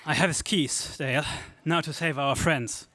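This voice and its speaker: An actor playing a brave, decisive young hero in an earnest, stagey 1930s space radio serial style. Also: male voice, on the high side, normal speed, bright, forceful tone. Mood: resolute.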